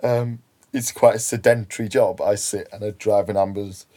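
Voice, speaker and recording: A man's voice: lowish voice, steady tone, normal speed, dark tone. A young man from Newcastle with a Geordie accent, chatting casually as an interview guest and filling his talk with ums and "you know"s. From Newcastle, England. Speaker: guest, ambulance worker.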